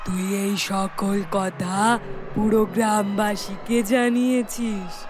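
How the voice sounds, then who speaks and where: A woman's voice: mid-range voice, fairly even intonation, slow pace, music in the background, lightly acted. A woman voicing a vengeful, gloating female tree ghost in a horror cartoon, with a threatening, cackling manner.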